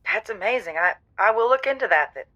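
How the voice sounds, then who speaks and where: A woman's voice: medium pitch, lively intonation, quick pace, sharp tone, lo-fi sound. A woman playing a pent-up but grateful caller to a radio advice show, in improvised audio comedy. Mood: grateful, eager.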